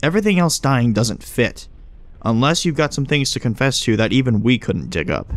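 A man's voice: medium pitch, very animated, moderate pace, no room sound. A man playing a smug, casual agent who explains things glibly in audio drama dialogue. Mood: measured, probing. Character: breezy, smug male agent who knows hidden things.

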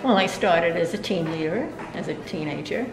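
An older woman from New York, an interviewee, remembering her past in an unhurried way with many hesitations. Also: on the low side, animated. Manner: unhurried, with frequent hesitations and restarts. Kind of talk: casual reminiscing interview. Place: indoor library room with bookshelves. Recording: roomy echo, music underneath.

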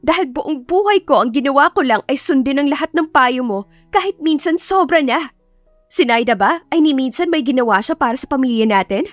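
Woman acting high-pitched, whiny spoiled young sister. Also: lively intonation, a little fast, no room sound, soft background music. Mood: resentful protest. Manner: whiny, indignant, emphatic. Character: spoiled, scheming young adult sister, whining and pleading. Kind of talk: audiobook character acting, melodramatic.

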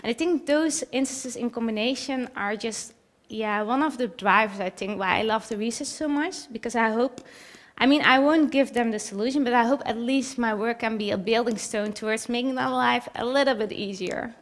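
A young woman speaks from a theater stage with a somewhat high voice. She explains her research earnestly, often stopping to restart a phrase or fill a gap with "uh". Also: normal speed. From Netherlands. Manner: earnest, with frequent fillers and restarts. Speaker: researcher presenting at a talk night. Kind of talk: short stage presentation and Q&A.

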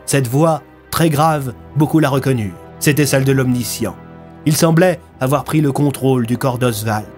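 A man in his thirties narrates a scripted story with dramatic delivery. His voice sits at a middle pitch, with music playing softly behind him. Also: normal speed. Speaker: narrator of his own audio fiction.

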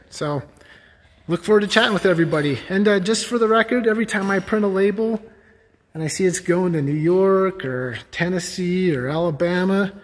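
A man in his forties, a warehouse director, giving a rambling, self-deprecating tour of the office and warehouse, full of fillers. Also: a little high. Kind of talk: casual company tour. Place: office, then large warehouse. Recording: long warehouse reverb.

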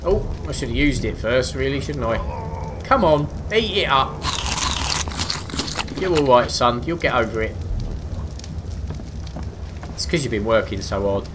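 A man chatting his way through a survival game, cracking jokes and grumbling at the creatures around him. His pitch jumps around a lot as he reacts. Game ambience and a clear layer of noise sit under his voice. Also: animated, unhurried, pauses often. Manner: chatty, jokey, talks to himself and the animals. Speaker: let's play gamer. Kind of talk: casual gameplay commentary. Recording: game sounds and noise behind voice.

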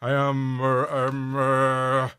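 An actor playing a jaded, easily flustered film director in a radio drama, hesitant and blunt. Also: male voice, mid-range voice, monotone, very slow, bright, forceful tone, smooth.

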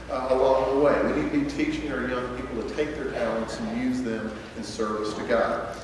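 A man in his forties stands at a pulpit and gives an earnest church address at a middling pitch. His voice booms with long reverb through a large hall. It comes from a distance off a podium mic, with noise audible behind it. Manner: earnest, steady speaking with filler pauses. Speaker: church program leader. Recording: echoey hall, voice heard from far off.

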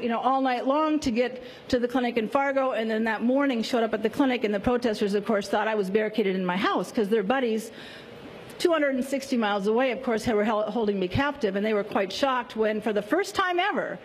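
A woman, a physician, telling an animated story during a public talk. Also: on the high side, a little fast, low-quality recording. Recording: faint noise, archive talk clip.

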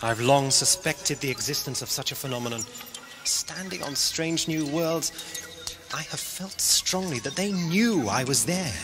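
Man acting a reflective cosmic wanderer over music. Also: on the high side. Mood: quiet wonder. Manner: reflective, measured, wondering. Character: thoughtful male cosmic wanderer, gentle and curious. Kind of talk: science-fiction audio drama acting. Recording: music behind the voice.

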